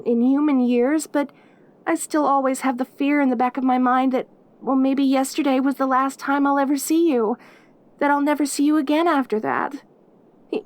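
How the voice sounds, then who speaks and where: A woman's voice: high-pitched, no room sound, natural voice. A woman voicing a perky, lonely caged demon girl in an intimate audio roleplay, with an eager, rambling, stammering manner. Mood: anxious, rambling. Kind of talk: audio roleplay acting, addressing the listener intimately.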